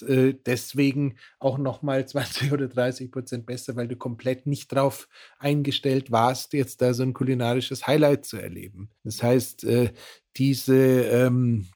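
A man's voice: medium pitch, mellow. A man explaining science on a podcast in long, winding sentences full of fillers. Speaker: biohacking expert guest.

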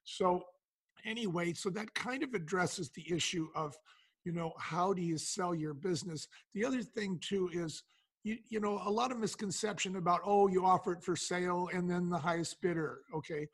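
A man with a notably high, raspy-edged voice talks as an interview guest. He explains business strategy in a conversational way, often setting up a question and then answering it himself. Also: moderate pace. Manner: explanatory, poses questions then answers them, acts out dialogue. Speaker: podcast guest, business founder.

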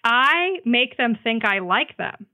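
A woman chatting casually as a podcast guest, telling stories in an animated way and slipping into quoted dialogue. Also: on the high side, slow. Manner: animated retelling with acted-out quotes, frequent "like". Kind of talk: casual chat, storytelling. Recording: muffled, phone-like band-limited sound.

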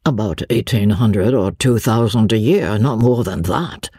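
A mild young gentleman from a classic novel, voiced by a woman reader. He is courteous and wry, teasing gently in a low, husky voice with lively intonation. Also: unhurried, no room sound, clean studio sound, full character voice. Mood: mild, questioning.

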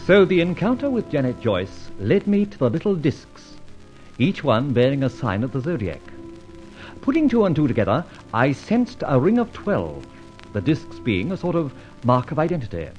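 Gentleman rogue, hugely varied intonation, sardonic, theatrical, polite menace, muffled vintage radio recording. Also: mid-range voice, wildly swooping pitch, moderate pace, faint music behind. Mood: brisk, confiding. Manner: sardonic, theatrical, mock-courteous. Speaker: lead and narrator of radio serial. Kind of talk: old radio drama acting.

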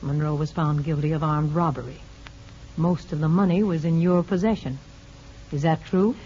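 Actress playing a firm prison official, muffled old radio. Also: female voice, sits low, fairly even intonation, normal speed, warm tone, slight background noise, natural voice. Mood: stern, businesslike. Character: brisk, firm but kindly women's prison official narrating her memories. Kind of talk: 1950s radio drama acting.

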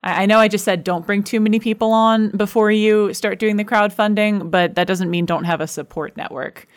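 A woman's voice: mid-range voice, steady tone, fairly quick. An American woman talking casually as a guest in a podcast interview, giving advice from experience. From United States. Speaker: podcast guest, show creator.

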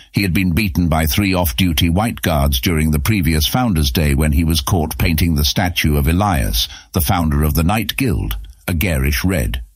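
A man's voice: a bass voice, breathy edge, no room sound, studio quality. A man narrating a scripted fantasy story as an audiobook narrator.

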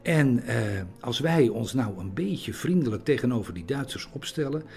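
A man's voice: medium pitch, sing-song, moderate pace, darkish tone, faint music behind. A man giving a hesitant interview, searching for words and often repeating himself. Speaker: interviewee recounting theatre history.

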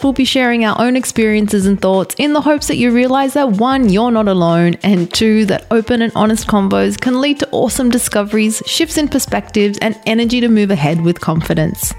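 A woman's voice: fairly high pitch, a little mellow. Thoughtful woman in her forties. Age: 40s. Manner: thoughtful, with frequent pauses and fillers. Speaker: podcast co-host. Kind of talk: casual chat.

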